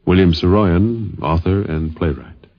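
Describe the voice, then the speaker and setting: A man's voice: low-pitched, measured pace. A man announcing formally from a script on 1950s radio, with plucked guitar music behind him. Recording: dull 1950s radio recording.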